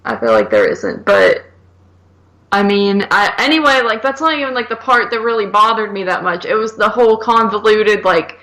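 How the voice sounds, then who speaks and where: A woman's voice: mid-range voice, sing-song, moderate pace, bright, forceful tone. A woman co-hosting a film review podcast, chatting casually and argumentatively in blunt, slangy speech peppered with "like.". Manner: blunt, exasperated, slangy, repeatedly hedging with "like".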